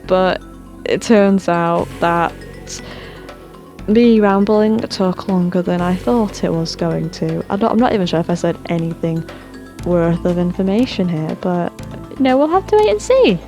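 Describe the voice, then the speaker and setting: A woman's voice: mid-range voice, a little mellow. A woman chatting casually and rambling about game mods, her voice tiring and her throat sore. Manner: chatty, self-deprecating, trails off into fillers. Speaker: gaming channel host.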